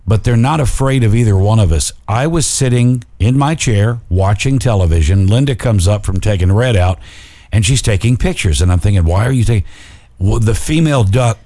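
A man's voice: on the low side, expressive, moderate pace, dark tone, dry recording. A middle-aged man co-hosting a casual podcast with dry, teasing banter, on a studio mic. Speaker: podcast co-host.

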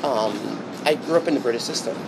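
A man's voice: medium pitch, fairly quick, vocal fry. Bahamian man in a reflective interview. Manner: reflective, many ums and restarts. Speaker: interview guest. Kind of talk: casual interview talk. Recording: steady background noise.

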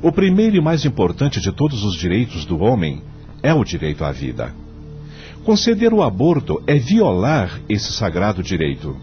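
An actor playing a principled, devout judge in a Brazilian radio drama, speaking formally and oratorically with rhetorical questions. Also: male voice, fairly low pitch, huge pitch swings, normal speed. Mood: solemn, impassioned. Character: eloquent male judge and mentor, devout, firmly principled. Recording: dull lo-fi audio, faint music.

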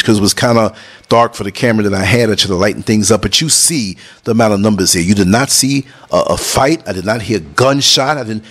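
A middle-aged man from New City, talking casually over concert footage in an emphatic, preachy way, swinging his voice up and down. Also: breathy edge. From New York City. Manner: emphatic, preachy, jumps between high and low tones. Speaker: vlogger and podcast host.

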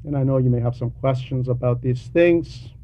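A man preaching a sermon with emphatic, deliberate phrasing and frequent pauses. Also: mid-range voice. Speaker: preacher reading a translated homily. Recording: dull lo-fi recording with background noise.

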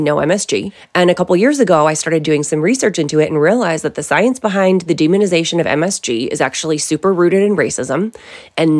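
A middle-aged woman, a company founder, answering interview questions in a confident, emphatic conversational way. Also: low-pitched. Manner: confident, emphatic, lively asides.

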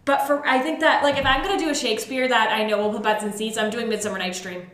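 A woman with a rather high voice chats as a podcast co-host. She is opinionated and emphatic in an informal panel discussion. The recording sounds echoey, as if made in a live room. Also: fairly even intonation, fast, fairly bright tone. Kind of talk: casual panel discussion about theatre.

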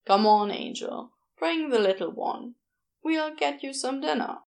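A woman voicing a laid-back, flirtatious man with a smooth, teasing manner in an audiobook. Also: high voice, expressive, measured pace, darkish tone, full character voice. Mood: teasing, fond. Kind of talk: audiobook character acting.

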